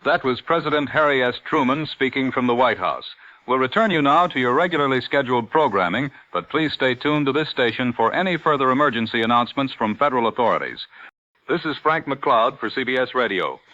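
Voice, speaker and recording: An actor playing an authoritative 1940s radio news anchor, formal and emphatic, with an American accent. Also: male voice, mid-range voice, animated, fairly quick, sharp tone. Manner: formal, clipped, emphatic announcing. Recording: dry, dull vintage radio broadcast.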